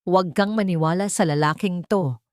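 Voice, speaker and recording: A woman voicing a combative old woman who scolds loudly in an audio story. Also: on the low side, moderate pace, no echo, clean studio sound, close to an everyday voice. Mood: scolding. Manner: shouting, scolding. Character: feisty, combative old woman defending a young mother.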